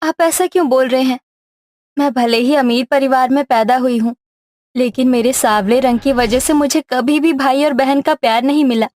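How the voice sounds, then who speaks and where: A woman acts out a meek and devoted young sister from a moral tale. Her voice is high, plaintive and earnest, recorded close and dry. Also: steady tone, moderate pace, a little mellow, no room sound, natural voice. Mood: sad, earnest.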